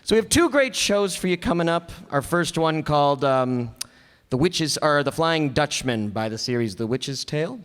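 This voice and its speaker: A man's voice: fairly high pitch, lively intonation, moderate pace, a bit bright, smooth. A man hosting a live stage show with an informal, rambling and joking introduction. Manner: hesitant, rambling, joking with the audience. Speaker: host. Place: stage before a small audience. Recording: live hall with long reverb.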